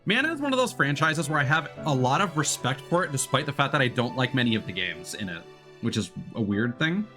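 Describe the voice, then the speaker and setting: A man's voice: medium pitch, very animated, brisk, sharp tone. A man giving casual gaming commentary, thinking aloud and sometimes reading on-screen text. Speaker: streamer. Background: game music.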